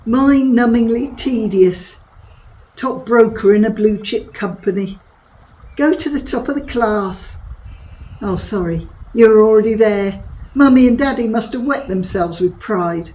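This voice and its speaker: A woman's voice: a little high, a little slow, mellow, highs cut off, close to an everyday voice. A woman playing Gabby, a cheeky, provocative middle-aged friend who mocks and prods with blunt humour in an audio drama. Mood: scornful. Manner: teasing, blunt and mocking.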